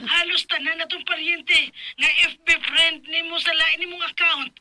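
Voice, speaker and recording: An actress playing an indignant, sharp-tongued mother in a radio drama, scolding with a Cebuano Filipino accent. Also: very high voice, fairly even intonation, normal speed, no echo, heavily acted. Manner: scolding, exclamatory.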